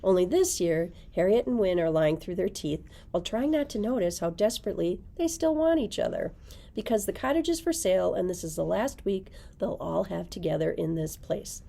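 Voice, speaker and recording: A woman's voice: on the low side, lively intonation, fairly quick, vocal fry, dark tone. A middle-aged woman librarian presenting book picks, reading blurbs aloud in a library room. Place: indoors, library room with bookshelves.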